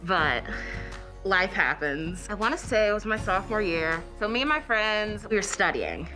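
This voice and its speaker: Young woman, student, lively storytelling, documentary interview, background music. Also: mid-range voice, moderate pace, rough recording. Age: young adult. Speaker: college student.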